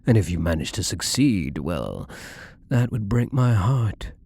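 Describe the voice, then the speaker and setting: Man acting out a husky, low-voiced possessive lover. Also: very animated, a little mellow, full character voice. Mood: teasing, possessive. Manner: slow-savoring, doting, menacingly tender, formal wording. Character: possessive, obsessive, wealthy male gambler, devoted and controlling lover. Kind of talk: intimate ASMR roleplay acting.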